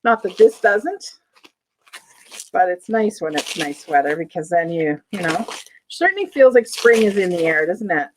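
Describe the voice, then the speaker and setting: A woman's voice: fairly low pitch, lively intonation, moderate pace, noisy background. A woman with a Canadian accent, chatting casually to her viewers while unboxing, rambling and correcting herself as she goes. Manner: chatty, rambling, self-interrupting with fillers. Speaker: vlogger unboxing auction finds. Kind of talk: casual chat to camera. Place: sunny room indoors.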